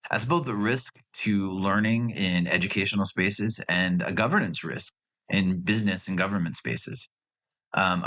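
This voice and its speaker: A man with a low voice and a bright, forceful edge. He presents a recorded class project, talking it through conversationally and dropping in dry asides. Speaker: graduate student presenter.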